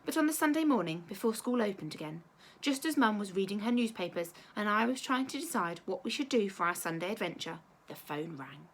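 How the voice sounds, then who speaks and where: A woman teacher reading a children's storybook aloud in an expressive, measured storytelling way. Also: mid-range voice.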